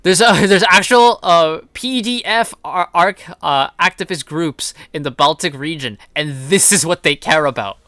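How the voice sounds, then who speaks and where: Male online commentary host: indignant, opinionated, sharp-edged voice, off the cuff, dry sound. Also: on the high side, unhurried, few pauses, no echo. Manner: indignant, blunt, swearing emphatically. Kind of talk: unscripted opinionated news commentary.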